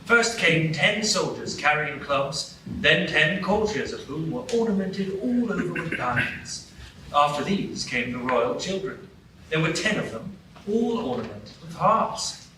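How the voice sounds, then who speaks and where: Male narrator, measured storytelling; distant mic, echoey with audible hiss. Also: on the high side. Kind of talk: live radio play narration. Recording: echoey stage from far off, noisy.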